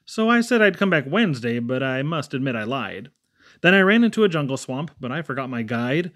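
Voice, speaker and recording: A man reading children's poems aloud expressively, with theatrical pauses and emphatic swings. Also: fairly high pitch, a bit bright. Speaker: poetry reader.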